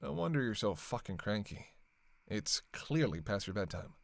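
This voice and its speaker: A man's voice: fairly low pitch, very animated, normal speed, creaky, no room sound. A man playing a sardonic, flirtatious, long-lived vampire killer in a roleplay audio drama, with casual, teasing, sweary banter. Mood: teasing, sarcastic. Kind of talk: casual roleplay audio acting, one side of a dialogue.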